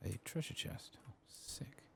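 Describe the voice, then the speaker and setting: A soft-spoken man murmuring ASMR game commentary to himself on a close mic, over game ambience and clicking. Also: measured pace. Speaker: gaming ASMR host. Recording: clear background noise, game ambience, clicking.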